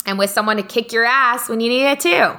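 A woman's voice: on the high side, fast talker, pushed and bright. A woman co-hosting a podcast in casual, candid conversation, speaking informally and often saying "like.". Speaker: podcast co-host.

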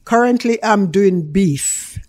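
A woman's voice: mid-range voice, animated, takes it slowly, a little mellow, no echo. Older woman, Jamaican accent, casual interview guest, dry recording. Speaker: interview guest, small food business owner.

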